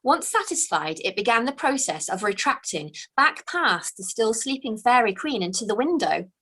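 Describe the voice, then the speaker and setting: A woman author reading her own fantasy storybook aloud, in a slow, expressive, hushed storytelling manner. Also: a little high. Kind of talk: storybook read-aloud narration.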